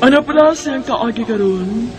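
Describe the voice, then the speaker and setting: Theatrical Cebuano radio announcer. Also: male voice, extremely high pitch, animated. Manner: slow, theatrical delivery. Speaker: program announcer. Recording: music bed underneath.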